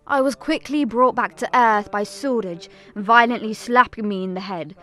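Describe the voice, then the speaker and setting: A woman's voice: a little high, moderate pace, pushed and bright, soft background music. A woman playing an earnest young pilgrim who narrates a family tragedy in a scripted audio drama.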